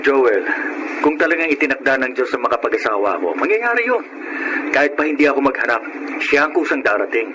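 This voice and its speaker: An actor playing a kind, humble, middle-aged Filipino taxi driver and eldest brother, polite and self-effacing, in radio drama style. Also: male voice, medium pitch, sing-song, a little fast, close to an everyday voice. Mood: calm, resigned. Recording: noisy radio drama with background ambience.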